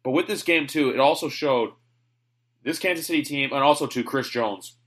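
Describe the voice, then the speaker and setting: A man's voice: mid-range voice, moderate pace, a bit bright. A man hosting a sports podcast in casual chat, rambling and opinionated. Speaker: podcast host.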